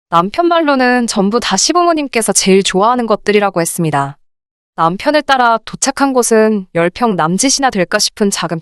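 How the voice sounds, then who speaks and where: A woman's voice: medium pitch, clear, resonant tone, no room sound, clean studio sound. A woman reading a scripted first-person story as a radio drama narrator.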